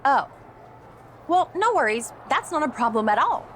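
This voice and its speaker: A woman's voice: high-pitched, sing-song, moderate pace, slight background noise. A woman playing a vain, self-absorbed young pro wrestler in a video game, breezy and gushing with a sugary passive-aggressive edge. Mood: breezy, smug. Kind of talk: video game cutscene acting.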